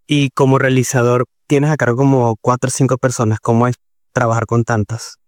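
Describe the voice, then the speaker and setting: A man's voice: medium pitch, little pitch movement, brisk, dry recording, clean studio sound. A man working as a TV reporter, introducing a feature and asking interview questions with an upbeat presenter delivery.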